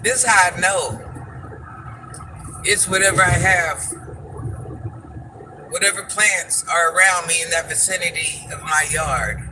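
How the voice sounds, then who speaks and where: High-voiced man chatting live from a car. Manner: chatty, greets viewers by name, drifts off topic; calls himself granny. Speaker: livestream host. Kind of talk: casual live chat with viewers. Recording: steady car noise behind.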